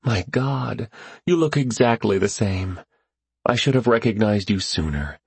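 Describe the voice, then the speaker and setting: Male reader as outspoken, wary older mom in audiobook; raised pitch, animated, hesitant. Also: measured pace, gravelly, husky, dry recording, heavily acted. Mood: startled.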